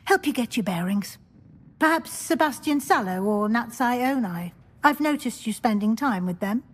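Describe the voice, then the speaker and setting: An actress voicing a stern older female professor of magic in a video game, speaking in a crisp, wry, authoritative way. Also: a little high, animated, moderate pace. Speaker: deputy headmistress.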